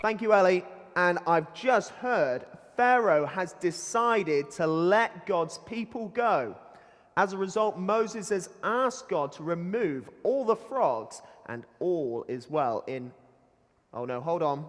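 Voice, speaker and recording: A man's voice: sits high, expressive, slow. A man playing a cheesy news anchor, presenting a scripted mock newsreel in an announcerly, punning style. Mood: announcing. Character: cheesy male newsreader anchor delivering dad-joke bulletins. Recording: long hall reverb, loud music bed.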